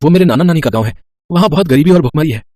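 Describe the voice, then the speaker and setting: An earnest young villager acted by a man in a cartoon moral tale. His voice sits fairly high and swings eagerly as he pleads, recorded dry and close. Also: lively intonation, rapid-fire, a little mellow, dry recording. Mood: earnest pleading. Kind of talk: animated moral story dialogue.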